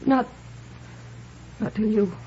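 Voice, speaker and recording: An actress playing a frightened, secretive young woman in a 1950s radio drama, speaking haltingly and nervously. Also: medium pitch, mellow, lightly acted. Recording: muffled, noisy vintage radio recording.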